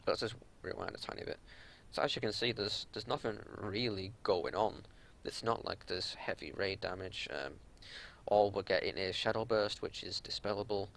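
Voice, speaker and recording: A man's voice: lowish voice, normal speed, creaky, a bit bright. A man giving unscripted game analysis as a raid leader, explaining things in an exasperated, matter-of-fact way. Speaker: raid leader reviewing gameplay.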